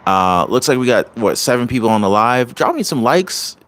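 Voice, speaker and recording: A middle-aged man hosting a casual livestream, talking in an animated, motivational way with lots of fillers and restarts. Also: medium pitch, moderate pace. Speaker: channel host. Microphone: home computer mic on a livestream.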